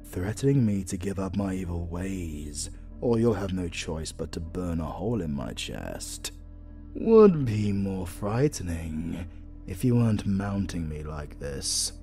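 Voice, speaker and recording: A man's voice: low-pitched, sing-song, warm tone, soft background music, exaggerated voice. A British man playing a flirtatious, sardonic supervillain in a romantic comedy audio roleplay, drawling and teasing theatrically. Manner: slow, drawling, teasing, dramatic.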